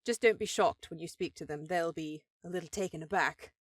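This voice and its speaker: A woman's voice: on the low side, fairly quick, dry recording, natural voice. A woman playing a powerful elven sorceress in a fantasy audio drama, an encouraging sister who talks in an earnest, affectionate way. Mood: fond, teasing.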